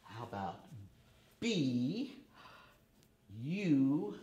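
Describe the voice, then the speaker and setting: A middle-aged man hosting a preschool storytime, teaching and reading in a theatrical, drawn-out way with gasps and dramatic pauses. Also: medium pitch, big pitch swings, mellow, highs cut off. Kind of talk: children's storytime lesson with expressive poem reading. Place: indoor set with painted forest backdrop.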